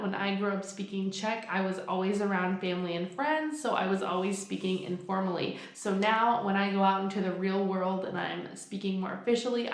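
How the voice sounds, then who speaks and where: A young woman talking casually to camera in an upbeat, chatty way, explaining things with examples. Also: medium pitch. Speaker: vlogger. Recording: echoey room.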